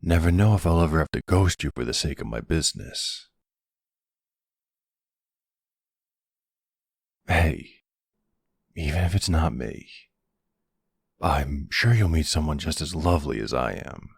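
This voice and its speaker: Man acting as suave middle-aged mob boss, very deep, gravelly, intimate, flirtatious, ASMR roleplay. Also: expressive, normal speed, no echo, studio quality, full character voice. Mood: tender.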